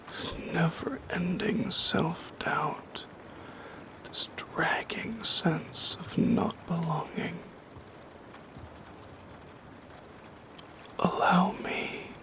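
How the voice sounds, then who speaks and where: Guy doing a lonely shadow monster for asmr, whispery and creaky, solemn n poetic, kinda tender. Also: fairly high pitch. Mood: coaxing, yearning. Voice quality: breathy whisper with creaky edges. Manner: slow, halting, solemn, poetic delivery. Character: lonely yearning shadow creature, brooding and tender. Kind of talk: soft-spoken whispered ASMR roleplay.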